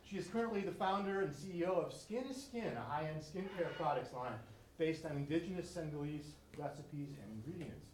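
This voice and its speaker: A man's voice: on the high side, a little fast, a little mellow. A man hosting an event, reading out a guest speaker's credentials in an admiring introduction. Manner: admiring, reads a list of credentials. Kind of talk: prepared introduction speech. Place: lecture room. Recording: roomy echo, distant mic, faint room noise.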